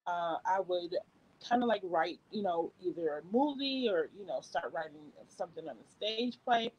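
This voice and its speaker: A woman's voice: fairly low pitch, expressive, moderate pace, darkish tone, dull audio. A woman from upstate New York, a guest on a video call, telling a long story with laughs and restarts. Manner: laughs often, rambling with restarts. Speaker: guest, executive producer. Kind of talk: casual interview storytelling.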